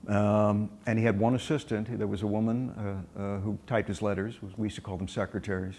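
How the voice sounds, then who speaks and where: A man's voice: fairly low pitch, little pitch movement, fairly quick, darkish tone. A man in his sixties recalling his working past casually in an interview. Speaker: former newspaper assistant, interviewee. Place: indoor room with staircase, seated.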